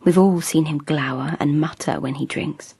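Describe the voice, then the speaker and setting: Komatsu, a breathy lovestruck young noblewoman. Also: low voice, normal speed, warm tone, no room sound, exaggerated voice. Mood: dreamy, confiding. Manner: breathless, whispery, eager. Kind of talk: radio drama acting.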